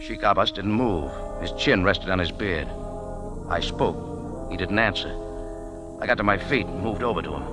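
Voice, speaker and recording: A man's voice: sits low, moderate pace, close to an everyday voice. A man playing a wry, tough adventurer with an American accent, narrating his story in dramatic old radio style. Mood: tense, measured. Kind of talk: 1940s-50s hardboiled radio drama narration. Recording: vintage radio, music behind.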